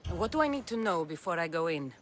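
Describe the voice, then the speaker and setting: An actress playing a determined, defiant Spartan warrior daughter in a video game, speaking directly and resolutely. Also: female voice, fairly low pitch, sing-song, fast talker, long reverb tail, slight background noise. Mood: guarded, questioning. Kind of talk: dramatic video game acting.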